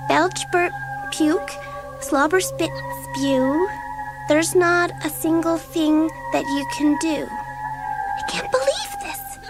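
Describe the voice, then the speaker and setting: A woman acting a mischievous, easily offended schoolgirl in a children's audiobook drama, expressive and dramatic. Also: sits high. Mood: reading spell, then amazed. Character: bored, mischievous schoolgirl, quick to take offence.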